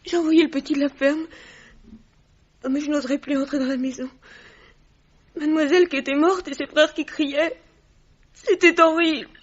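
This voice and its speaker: A woman's voice: sits high, fairly even intonation, moderate pace, long pauses, close to an everyday voice. A woman playing a frightened, tearful eighteen-year-old village housemaid in a French radio drama, plaintive and deferential. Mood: shaken, respectful. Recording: dull lo-fi radio recording.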